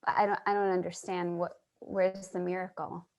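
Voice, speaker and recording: A woman's voice: on the low side, level tone, normal speed, darkish tone, natural voice. An actress playing a troubled, earnest loner woman, hesitant and dramatic, in a staged play reading. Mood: shocked, distressed.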